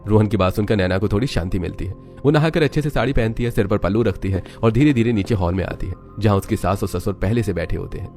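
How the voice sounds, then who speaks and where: A man's voice: on the low side, fast, few pauses, a little mellow, faint music behind. A man narrating a scripted family story as a third-person storyteller.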